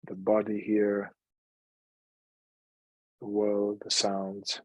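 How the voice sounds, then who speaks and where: A man leading a guided meditation in a calm, unhurried manner, leaving long pauses between phrases. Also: fairly low pitch, no room sound, low-quality recording.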